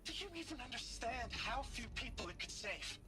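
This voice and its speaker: An actress playing an agitated, scornful space expert in a video game, blunt, sarcastic and emphatic in argument. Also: female voice, a little high, sing-song, moderate pace.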